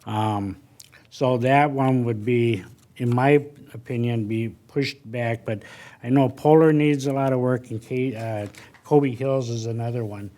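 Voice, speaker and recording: An older man talks plainly at a city council desk microphone, laying out his priorities. He pauses on fillers as he thinks, in a medium-pitched, unhurried voice. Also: steady tone, warm tone. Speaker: parks commissioner. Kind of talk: public meeting discussion.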